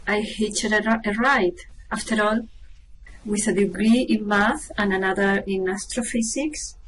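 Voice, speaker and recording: A woman's voice: mid-range voice, close to an everyday voice. A woman playing a cool, clever young space traveller in a radio play, matter-of-fact, recorded from a distance. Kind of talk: amateur radio play acting. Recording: distant mic, background noise.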